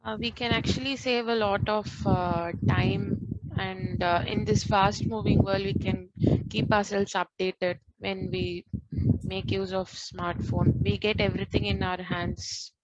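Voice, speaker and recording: A woman's voice: mid-range voice, fairly even intonation, measured pace, dry recording. A woman giving a spontaneous answer as an audience member in a class. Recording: dull, muffled lo-fi sound.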